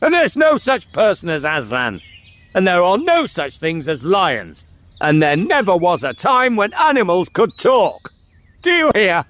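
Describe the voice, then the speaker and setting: A man's voice: very high voice, wildly swooping pitch, moderate pace, few pauses, voice pushed up, exaggerated voice. A man voicing a stern, short-tempered king and uncle in a storybook, imperious and scolding. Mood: thunderous, angry. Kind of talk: dramatic storybook character reading.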